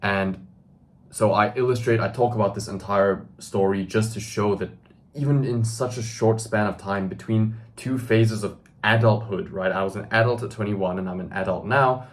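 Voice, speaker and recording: A young man in his twenties with a very low, deep voice talks straight to the camera. He speaks earnestly and emphatically, often tagging his sentences with "right?" and pausing on fillers. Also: steady tone. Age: 20s. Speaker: self-improvement video host. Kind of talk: direct-to-camera monologue.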